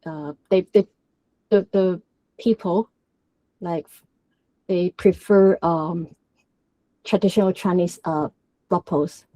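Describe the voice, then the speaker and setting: A woman's voice: fairly low pitch, fairly even intonation, slow, long pauses, warm tone, dry recording, rough recording. A woman from Hong Kong with a Hong Kong accent and Cantonese as her first language, giving a technical presentation at a developer meetup. She talks hesitantly, with many filler sounds and restarts. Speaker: guest speaker at a developer meetup.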